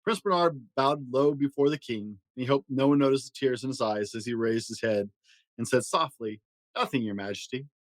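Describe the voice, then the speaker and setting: A man narrating a children's storybook aloud with expressive delivery. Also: mid-range voice, moderate pace, no echo, poor audio. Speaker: storyteller and host.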